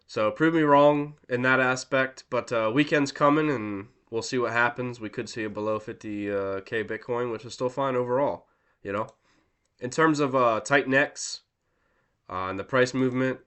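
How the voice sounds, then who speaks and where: Congested male vlogger, casual and rambling, smooth resonant tone under the stuffiness, lots of "um" and "right?". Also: mid-range voice, a bit bright. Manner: rambling, filler-heavy, self-deprecating. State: congested from a cold. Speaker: crypto channel host. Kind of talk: casual talking-to-camera market commentary.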